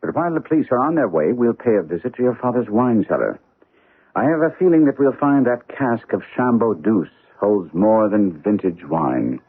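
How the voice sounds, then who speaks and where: An actor playing a keen, commanding detective in a deliberate, probing, theatrical old-time radio drama style. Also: male voice, fairly low pitch, big pitch swings, breathy edge, full character voice. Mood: brisk, assured. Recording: muffled narrow-band old radio recording.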